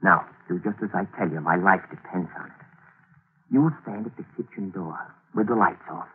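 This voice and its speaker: A man's voice: low voice, normal speed, slightly rough. A man in a 1940s radio drama playing a scheming plotter who also narrates, clipped and commanding. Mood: cold, commanding. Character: cool, scheming male schemer plotting a cover-up. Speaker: narrator and lead character. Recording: tinny old radio broadcast with hiss.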